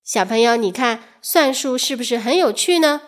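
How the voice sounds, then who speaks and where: A woman narrates an educational story for children. Her voice sits a little high and moves up and down in a very animated way. She addresses young listeners directly, drawing them in with questions. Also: normal speed.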